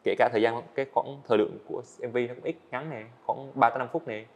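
Fast-talking young man, earnest guest. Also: mid-range voice, steady tone, darkish tone. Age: young adult. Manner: earnest, explains at length with fillers. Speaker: guest, student animator. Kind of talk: casual interview talk. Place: studio set, indoors.

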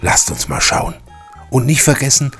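A man's voice: medium pitch, big pitch swings, normal speed, a bit bright, soft background music. A man hosting with casual, enthusiastic fan commentary, chattily addressing viewers with little tag questions. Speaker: channel host.

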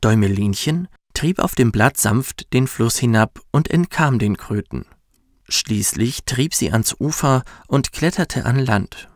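A man narrating a children's bedtime story in a soft, soothing, measured way. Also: medium pitch, expressive, no room sound, studio quality. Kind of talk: gentle scripted storytelling for children.

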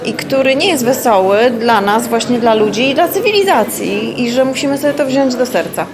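A woman with a slightly high voice gives a thoughtful, hesitant interview answer full of filler sounds. Crowd chatter is clearly heard behind her. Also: expressive, moderate pace. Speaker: festival curator, interviewee.

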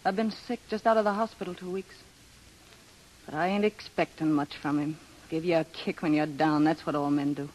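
A woman's voice: deep voice, steady tone, normal speed, a little mellow, a little hiss or hum, natural voice, low-quality recording. An actress plays a young woman who is weary, sardonic and guarded. She talks in blunt slang, in the style of a vintage 1930s radio drama. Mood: bitter, defiant. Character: weary, bitter, hard-bitten young woman, guarded and tough.

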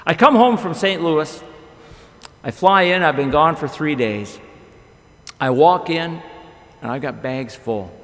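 A man's voice: on the high side, lively intonation, measured pace, pauses often, fairly bright tone. A middle-aged man preaching a chapel sermon at a podium microphone, telling stories with wide gestures and rhetorical questions. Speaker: pastor. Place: large chapel with long reverb. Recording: echoey chapel hall.

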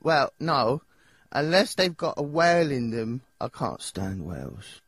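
A nervous, childlike young English singer from a cartoon game. His voice is husky and swoops up and down as he rambles in slang, hesitant and a little dim. The recording is close and dry. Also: mid-range voice, big pitch swings, measured pace, a bit bright, no room sound, exaggerated voice. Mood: timid, friendly. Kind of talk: video game character dialogue.

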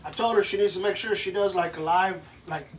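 A man's voice: high voice, fairly quick, slight background noise. A man, joking casually and swearing while cooking beside his wife in a home kitchen. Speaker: host's husband. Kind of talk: casual banter. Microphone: phone camera across the room. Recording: voice picked up from a distance.

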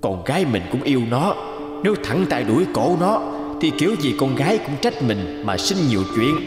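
An actor voicing a stern, scheming middle-aged lord in an animated folk tale, haughty and menacing. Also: male voice, medium pitch, brisk, over music, lightly acted.

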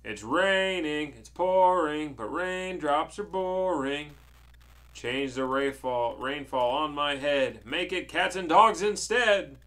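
A woman voicing an eager young storybook witch who chants her spells in a drawn-out singsong. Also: very high-pitched, wildly swooping pitch, fairly bright tone, pitched above the performer's own voice, exaggerated voice. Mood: dramatic chanting.

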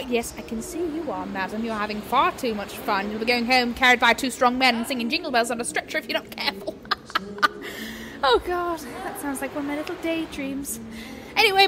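Casting: bawdy pantomime dame spirit, high-pitched, cheeky, gushing, with background ambience noise. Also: female voice. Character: bawdy, teasing female pantomime dame spirit of Christmas Present. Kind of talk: pantomime audience banter.

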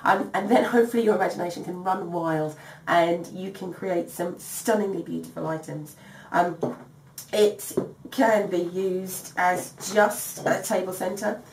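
A woman's voice: fairly low pitch, measured pace, a little hiss or hum. Woman with a cold-strained voice, hosting. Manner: chatty, many ums, apologetic. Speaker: sewing tutorial host. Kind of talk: casual craft tutorial. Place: home dining room by garden windows. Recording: echoey room, slightly distant mic.

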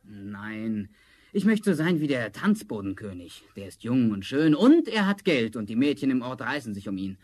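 A man's voice: medium pitch, very animated, normal speed, a little mellow. A man playing a discontented, envious young charcoal burner in a theatrical 1970s fairy-tale audio drama. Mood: envious, sulky.

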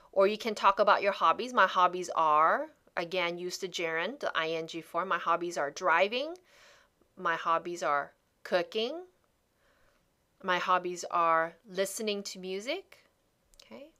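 A woman gives a slide-based English lesson in a bright, clear voice. She models each phrase slowly and repeats it for learners to practice. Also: medium pitch. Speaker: English teacher.

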